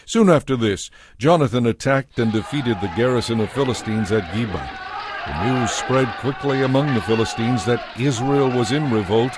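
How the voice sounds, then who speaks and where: A man narrating a dramatized scripture story in a measured, solemn, scripted storytelling style. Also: mid-range voice, a little mellow, dry recording.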